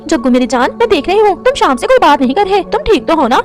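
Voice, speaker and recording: A woman's voice: very high voice, big pitch swings, quick pace, soft background music. A woman voicing a defiant, fiercely protective mother crow in a melodramatic cartoon story. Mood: doting, worried. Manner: sharp, defensive, doting toward her child.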